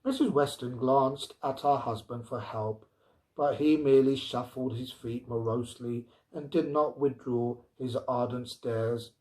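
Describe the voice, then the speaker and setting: A man narrating a story aloud in a deliberate, dramatic, expressive way. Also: medium pitch, low-quality recording.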